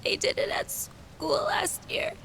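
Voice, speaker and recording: A woman playing an injured teenage schoolgirl in an audio drama, with a breathy, strained whisper from a hurt throat. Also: slow pace, fairly bright tone, slight background noise. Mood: weak, wistful.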